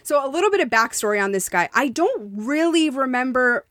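Podcast co-host, female, blunt and swearing freely, slightly high voice, dry close sound. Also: a little fast, dry recording. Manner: blunt, profane, animated. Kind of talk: candid casual chat. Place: Las Vegas.

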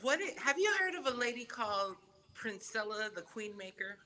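Woman, podcast guest, from Samoa, first language Samoan, bright clear tone, emphatic casual talk. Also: fairly high pitch, moderate pace. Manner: lively, emphatic storyteller.

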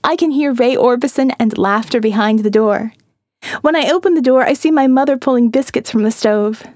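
Female narrator, eerie and dreamy, hushed dips, dry booth sound. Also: fairly quick, no echo. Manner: dreamy, eerie, drops to hushed low tones. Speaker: audiobook narrator. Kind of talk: scripted first-person narration.

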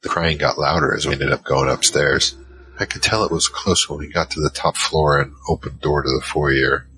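An actor playing a giant troll, worried and soft-hearted, narrating in the first person in an audio drama. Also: male voice, rumbling low, fairly even intonation, moderate pace, background noise. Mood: recounting, uneasy. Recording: music behind.

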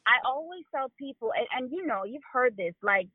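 A woman from Jamaica with a Jamaican accent, telling stories as a guest in a casual interview, with quick laughs. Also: high-pitched, slightly rough, no room sound. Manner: animated storyteller, quick laughs. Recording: tinny phone line.

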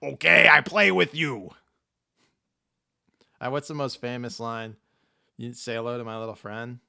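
A laid-back podcast host chats casually and rambles, often laughing. His voice is gravelly and bright, with a dry, close recording. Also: medium pitch, fairly even intonation, measured pace, no room sound. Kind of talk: casual chat.

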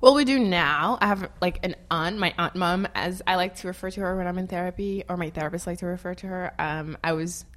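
A woman's voice: lowish voice, a bit bright. A woman from Haiti speaking casually in an interview, rambling with lots of fillers and frequent laughter.

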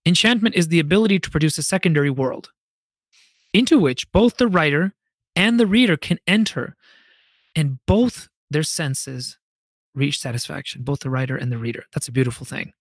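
A man with a somewhat high-set voice hosts a reflective solo podcast. He sounds literate and opinionated, leaning on key words and pausing deliberately for emphasis. The sound is dry, with very little room in it. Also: no room sound. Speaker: podcast host.

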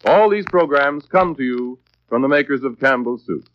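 A man's voice: medium pitch, lively intonation, moderate pace, dull audio. An American man working as a radio announcer, reading scripted copy in a formal, carefully enunciated 1940s style. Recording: dry, band-limited vintage radio broadcast.

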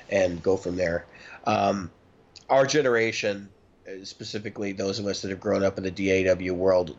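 A man's voice: low-pitched, dull audio. A man in his early thirties chatting casually and emphatically as a talkative podcast co-host. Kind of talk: casual chat about gear and recording.

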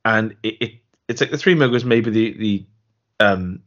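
A man co-hosting a podcast, chatting casually and reading out a listener email with a dry, deadpan delivery. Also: lowish voice, moderate pace. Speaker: podcast co-host. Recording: dull lo-fi podcast audio.

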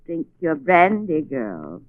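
An actress playing a coldly calculating murderous wife, formal and icily polite, in 1940s radio style. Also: female voice, sits low, wildly swooping pitch, no room sound, heavily acted. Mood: falsely gracious. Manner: formal, measured, icy politeness. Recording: old phone-like 1940s radio, boxy room.

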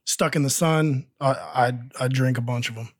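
Measured man with a dry voice. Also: mid-range voice, fairly even intonation, no echo. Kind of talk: casual chat.

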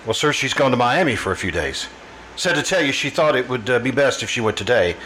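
An actor playing a polite, apologetic, deferential household butler in an old-time radio drama. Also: male voice, medium pitch, animated, fairly quick, sharp tone, slight background noise, rough recording. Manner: apologetic, servile.

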